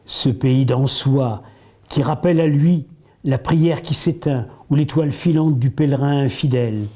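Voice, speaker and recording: A man reciting poetry in a solemn style, with measured, deliberate phrasing and pauses between the lines. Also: mid-range voice, mellow. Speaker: poetry reader.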